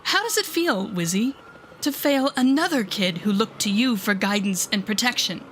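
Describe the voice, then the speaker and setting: A woman playing a telepathic supervillain in an audio roleplay, a bitter but protective mentor with a sardonic, deliberate manner. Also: mid-range voice, lively intonation, a bit bright, a little hiss or hum, natural voice. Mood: bitter, accusing. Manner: sardonic, deliberate, shifting to soothing whispers. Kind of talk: dramatic audio roleplay acting.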